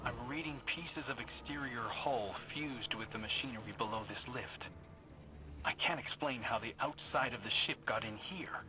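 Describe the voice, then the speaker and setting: Man as wry game scout, medium pitch. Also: moderate pace, natural voice. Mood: wry, uneasy. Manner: dry, conversational. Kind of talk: video game voice acting. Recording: clear noise behind voice.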